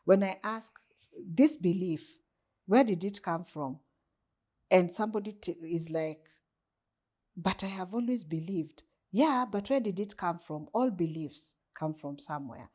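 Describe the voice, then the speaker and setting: Kenyan woman coach, kinda low and mellow, thoughtful, asks herself questions out loud. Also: expressive, unhurried. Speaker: guest, coach. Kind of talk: reflective interview conversation.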